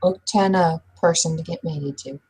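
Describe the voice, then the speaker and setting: A woman roleplays a caring female friend in a tabletop game. Her voice is dark, relaxed and breathy. She gives gentle, protective instructions in an earnest, slightly hesitant way. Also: fairly low pitch, normal speed, rough recording. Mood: concerned.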